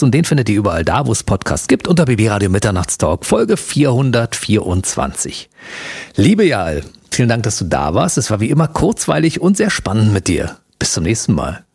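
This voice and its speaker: Husky, jovial man hosting a radio talk. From Berlin, Germany. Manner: jovial, laughs often, lively pitch swings. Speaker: radio podcast host. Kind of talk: casual talk show interview.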